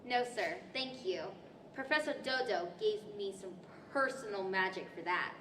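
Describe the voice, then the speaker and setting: A woman's voice: mid-range voice, animated, moderate pace, bright, forceful tone, a little hiss or hum. A woman playing an earnest, polite storybook girl in a 1940s radio-style stage play. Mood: polite, wistful. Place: large library event room, standing microphones. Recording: echoey hall, distant microphone.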